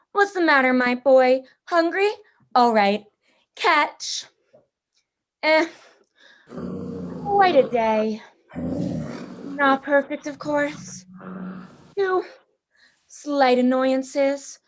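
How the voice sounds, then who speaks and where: Woman acting as slow, high-voiced, suave cruel general. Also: very animated, exaggerated voice. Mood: indulgent. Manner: suave, theatrical, measured. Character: urbane, cruel general and big-game hunter, Zaroff. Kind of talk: radio play acting.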